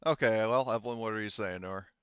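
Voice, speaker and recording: Smooth, low-voiced man running a game. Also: fast, no echo, dull audio. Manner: explanatory, hedging, conversational. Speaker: game master. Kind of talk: casual tabletop game narration.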